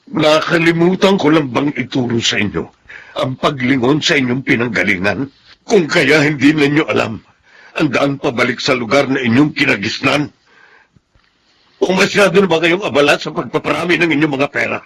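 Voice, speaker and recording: A man's voice: medium pitch, normal speed, fairly bright tone, slight background noise, natural voice. An actor playing a stern, hurt, ailing father in a Tagalog radio drama, speaking in formal, reproachful phrases. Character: aggrieved, stern ailing father of grown children.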